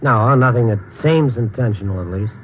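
An American actor playing a calm, wry man who narrates and consoles friends in a measured, noncommittal way, in old-time radio drama style. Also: mid-range voice, a little hiss or hum, lightly acted. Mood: guarded. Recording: muffled old radio recording, music behind.

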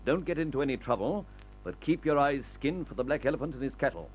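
Commanding flight leader, brisk and calm, mid-pitched husky voice, old radio serial, dull sound. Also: male voice. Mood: brisk, decisive. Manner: brisk, authoritative, instructing. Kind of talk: old-time radio adventure serial acting.

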